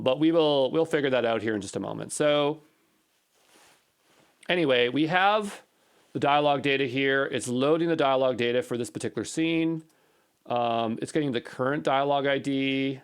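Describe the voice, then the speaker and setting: A man's voice: mid-range voice, moderate pace, clear, resonant tone. A man giving an unscripted coding walkthrough as an instructor, thinking aloud in a tentative, musing way and questioning himself. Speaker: programming instructor.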